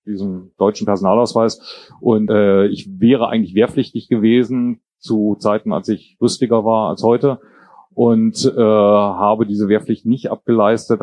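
A man's voice: on the low side, a little mellow, dry recording. A man in his fifties, raised bilingual in German and English, talking reflectively and hesitantly as an interview guest. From born in Jordan, grew up in England and Germany. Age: 50s. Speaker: interview guest, writer and political columnist. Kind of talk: casual, reflective interview conversation.

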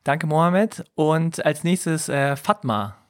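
A man hosting a podcast group chat in a playful, lively, joking way. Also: a little high, slow pace. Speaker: podcast host.

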